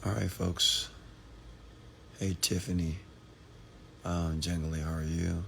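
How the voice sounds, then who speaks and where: Deep gravelly-voiced hoarse man streaming live. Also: flat delivery, slow pace, a bit bright, a little hiss or hum. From Texas, USA. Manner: repetitive, motivational, greets viewers by name. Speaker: entrepreneur hosting a live stream. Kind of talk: late-night livestream talk with viewer shout-outs.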